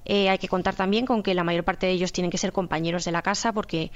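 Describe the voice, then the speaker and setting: A woman's voice: lowish voice, steady tone, quick pace. Spanish woman explaining, slightly dull recording. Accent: Spanish from Spain. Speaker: radio drama producer being interviewed. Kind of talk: explanatory interview talk.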